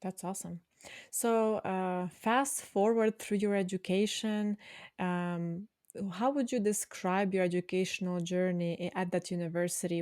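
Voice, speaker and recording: A woman's voice: fairly low pitch, darkish tone, no room sound, clean studio sound. A woman hosting a podcast, asking her former student curious, prompting questions. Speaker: podcast host and former teacher. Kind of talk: interviewing a guest.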